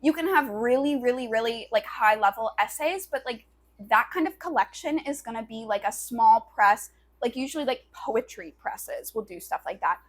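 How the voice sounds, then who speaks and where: A woman's voice: a little high, expressive, moderate pace. A woman co-hosting a casual podcast, talking in a loose, very colloquial way peppered with filler words. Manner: very colloquial, loose valley-girl-like phrasing full of "like". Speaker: podcast co-host. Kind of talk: casual chat.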